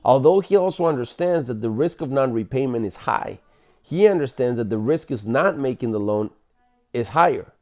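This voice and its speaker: Mellow-voiced man reading aloud. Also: medium pitch, animated, normal speed. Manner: explanatory, stumbles and corrects himself while reading. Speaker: parent reading aloud to children. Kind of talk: bedtime read-aloud of a book, with casual asides.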